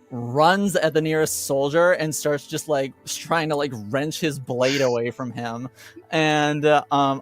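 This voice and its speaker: A man running a tabletop game as game master, narrating casually and haltingly as he thinks aloud. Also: a little high.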